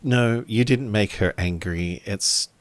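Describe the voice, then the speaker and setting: Tabletop game role: earnest, flustered male go-between of the samurai era, played by a man. Low pitch, courteous, stammering. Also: no room sound. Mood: earnest reassurance. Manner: halting, polite, stammering.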